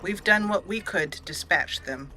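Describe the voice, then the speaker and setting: A woman's voice: fairly low pitch, normal speed, pushed and bright, slight background noise. A woman giving casual, chatty roleplay commentary on a game, slipping into emotive, story-like narration. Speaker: let's play host and gamer.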